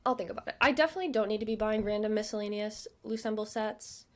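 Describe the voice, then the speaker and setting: A young woman with a stutter, chatting casually at her desk about shopping, with lots of fillers and soft murmured asides. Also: medium pitch, fast talker. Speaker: vlogger. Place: home desk.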